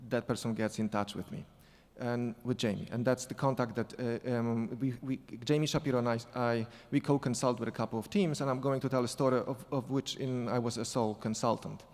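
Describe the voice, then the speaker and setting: A man with a non-native accent speaks at a conference panel, his mid-pitched voice carrying a long hall reverb. He talks in a halting way, with frequent restarts and fillers. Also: fairly even intonation, darkish tone. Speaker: sport psychology consultant on a panel. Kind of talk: storytelling conference panel talk.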